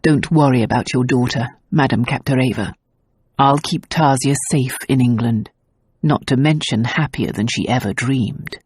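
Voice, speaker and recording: Woman doing a low, murmuring reassuring gentleman. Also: moderate pace, slightly rough, dry recording, studio quality. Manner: murmuring, soothing.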